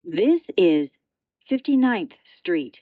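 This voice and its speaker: Slow, dull-sounding female transit announcer. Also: lowish voice, very animated, dry recording. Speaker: subway train announcer. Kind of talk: scripted automated transit announcement.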